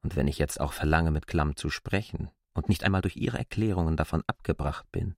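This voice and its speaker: An actor playing a stubborn, self-assured outsider in a radio drama, reasoning articulately and insistently. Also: male voice, very deep voice, fairly quick, a little mellow, no room sound, clean studio sound. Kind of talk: literary radio drama acting.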